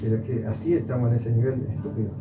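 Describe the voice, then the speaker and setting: Argentine man, reflective coffee talk, distant mic, loud music behind. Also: medium pitch, fairly even intonation, racing. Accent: Argentine Spanish. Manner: reflective, rambling, restarts often. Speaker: coffee educator. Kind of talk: casual conversation. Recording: echoey room, far from mic, dull sound, loud music.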